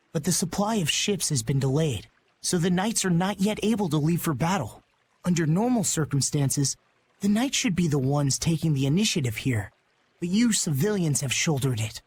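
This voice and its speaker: A man voicing a gentle, earnest young prince in a video game, speaking in a formal, measured, dignified manner. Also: fairly high pitch, animated, a little hiss or hum, natural voice. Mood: apologetic, formal.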